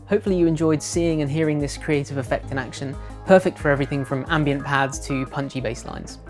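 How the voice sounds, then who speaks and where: A woman presenting a software tutorial in scripted narration. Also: deep voice.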